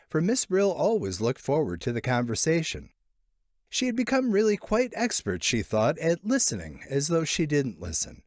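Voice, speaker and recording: Male narrator, short story audiobook, mid-pitched voice, animated intonation, expressive phrasing, lingering pauses. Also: no echo, studio quality.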